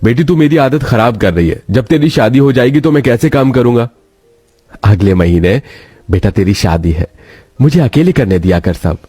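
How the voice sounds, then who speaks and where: A man voicing a kindly, soft-hearted middle-aged noodle vendor and father in a story, friendly and fatherly. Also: medium pitch, animated, normal speed, breathy edge, dry recording, soft background music. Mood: fond.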